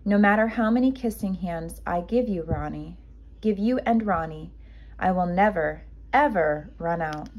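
Gentle raccoon mom for a picture book female reader, reassuring, slow-paced and patient. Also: lowish voice, muffled recording. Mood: tender explaining. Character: gentle, loving mother raccoon. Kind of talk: children's storybook read-aloud.

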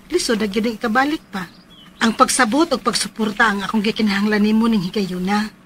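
A woman's voice: fairly high pitch, fairly bright tone, slight background noise, natural voice. An actress playing a firm, worried thirty-two-year-old mother in a Cebuano radio drama. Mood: firm, admonishing.

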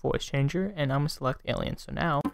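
A young man casually narrating a software tutorial as its host, close on a desktop microphone. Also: mid-range voice, fast talker, gravelly. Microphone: close USB desktop microphone.

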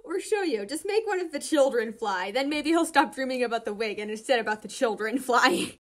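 A woman's voice: a little high, animated, fairly quick. A young woman casually narrating and commenting on fan comics in a gushing, giggly, informal way.

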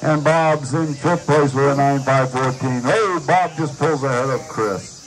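A man's voice: mid-range voice, unhurried. A man calling a live race as the announcer at an outdoor dirt track. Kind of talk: live race commentary over a PA. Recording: noisy outdoor track recording.

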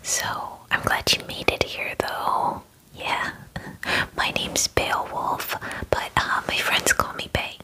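A woman in her twenties whispering an ASMR roleplay as a friendly werewolf groomer, in a breathy, hesitant, chatty way, close to the microphone. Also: slow pace, pushed and bright, poor audio. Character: friendly young female werewolf salon groomer. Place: small indoor room at home. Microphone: close microphone, face near camera.

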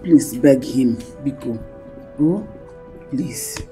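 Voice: mid-range voice, extremely slow, mellow, soft background music, rough recording. An actor playing an in-law who pleads for forgiveness. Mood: pleading.

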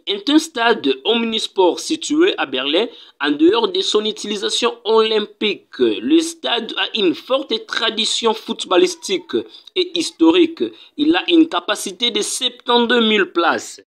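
A man narrating a voice-over as the channel's narrator, reading a numbered list slowly and carefully. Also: high-pitched, animated, fairly bright tone.

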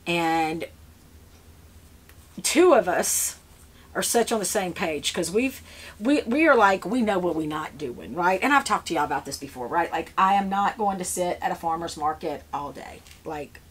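A woman's voice: fairly low pitch, lively intonation, normal speed. A woman, chatting casually to the camera while she tends seedling trays in a seed-starting shed, rambling with lots of fillers and restarts. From Louisiana, US. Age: 50s. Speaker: flower farmer. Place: indoor seed-starting shed with grow shelves.

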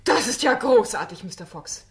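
An actress playing a determined, composed defence lawyer in a German radio crime drama. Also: female voice, medium pitch, lively intonation, moderate pace, close to an everyday voice. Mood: eager.

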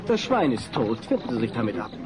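Man playing a glib, animated party caterer. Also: medium pitch, brisk, very noisy, close to an everyday voice. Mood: resigned, melodramatic. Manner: glib, theatrical. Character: smooth-talking, melodramatic party caterer. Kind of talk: dubbed sitcom audio drama.

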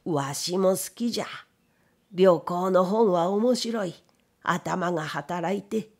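A woman acting a slow, brooding old gentleman. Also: high-pitched, gravelly, heavily acted. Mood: musing. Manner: slow, old-fashioned elderly speech, commanding. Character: stern, brooding blind old gentleman, master of the house.

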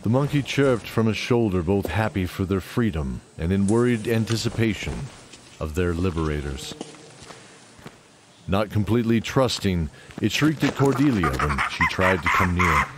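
Man, low-pitched, storytelling narrator, fantasy audio drama, clear background noise. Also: expressive, normal speed.

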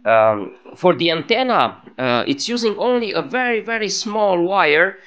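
A man giving a casual, improvised demonstration as a hobbyist presenter, halting as he searches for words, recorded on a phone at an indoor workbench. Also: sits high, big pitch swings, fairly bright tone, muffled recording. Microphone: phone held over the workbench.

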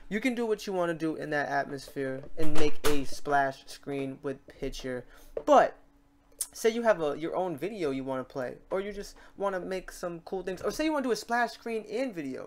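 A man hosting a software tutorial in a casual, chatty way, talking through each step aloud. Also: fairly high pitch, slight background noise. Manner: informal, chatty, talks through steps aloud. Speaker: tutorial host.

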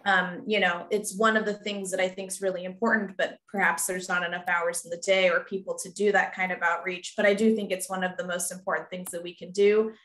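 A woman archivist on a video call panel, speaking in a clear, measured and earnest way. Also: fairly low pitch, a bit bright. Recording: echoey room on a video call.

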